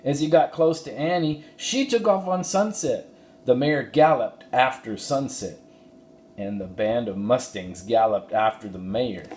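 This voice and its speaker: A man giving an expressive narration of a children's storybook. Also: mid-range voice, unhurried. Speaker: story reader. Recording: roomy echo, faint background noise.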